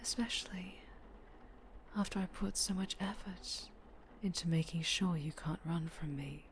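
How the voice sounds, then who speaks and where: A woman playing a possessive, obsessive winged dark-angel superhero, sinister yet doting, in an intimate, breathy ASMR roleplay that often slips toward whispering. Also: lowish voice, measured pace, slight background noise, lightly acted. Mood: obsessive, soothing.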